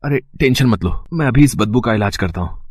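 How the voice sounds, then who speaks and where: Man playing an animated, flustered young husband. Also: medium pitch, brisk, no room sound, clean studio sound, close to an everyday voice. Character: young husband, eager, easily flustered bank manager.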